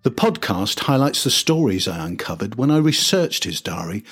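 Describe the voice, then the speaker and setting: A man's voice: fairly low pitch, sing-song, normal speed, faint music behind. An older man narrating a scripted history podcast as its host.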